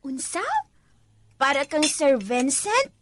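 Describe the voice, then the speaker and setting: A chatty, concerned Filipino house servant with a Cebuano accent. Her voice is very high, full of curious exclamations, in a noisy radio drama recording. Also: animated, takes it slowly. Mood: teasing, surprised. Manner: exclaiming, inquisitive. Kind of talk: Philippine radio drama acting.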